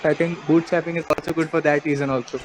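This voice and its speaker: A man's voice: flat delivery, quick pace. A young man with an Indian accent talking conversationally in a casual group discussion. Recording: noisy room, traffic rumble.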